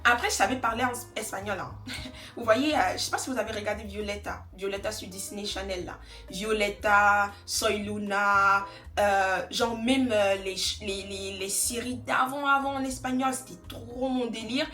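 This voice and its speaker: A woman's voice: medium pitch, fairly even intonation, normal speed, sharp tone, reverberant, soft background music. A young woman from Côte d'Ivoire chatting casually while doing her makeup, rambling and laughing often. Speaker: beauty vlogger. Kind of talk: casual get-ready-with-me chat.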